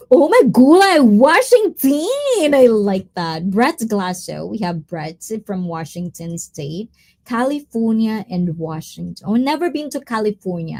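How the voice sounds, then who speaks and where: A woman's voice: mid-range voice, darkish tone. A woman hosting a live stream, chatting casually, reading viewer comments and mixing in Tagalog words. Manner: chatty, mixes in Tagalog words, drifts between topics.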